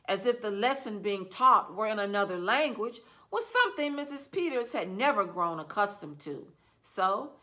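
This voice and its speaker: Slow, bright-voiced woman librarian storyteller. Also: on the high side. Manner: gentle, expressive storytelling. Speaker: youth services librarian. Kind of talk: reading a novel aloud for young listeners.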